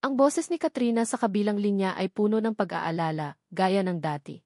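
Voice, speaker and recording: A woman's voice: mid-range voice, moderate pace, warm tone, no room sound. Woman narrating a dramatic story, dry sound.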